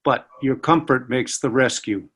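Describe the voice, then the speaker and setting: An amateur actor reading a loyal, respectful attendant in a Shakespeare play, urging and soothing. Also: male voice, medium pitch, measured pace, a bit bright, slightly rough. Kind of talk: amateur Shakespeare read-aloud. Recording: video call with faint music.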